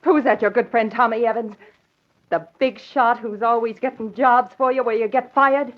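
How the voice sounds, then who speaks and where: Bitter tenement wife, played by an actress, fairly high voice, sarcastic and needling, old radio crime drama, dull recording. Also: female voice, steady tone, moderate pace, natural voice. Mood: scornful, sarcastic. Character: bitter, scornful, defeated wife in a poor tenement. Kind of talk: 1940s radio acting.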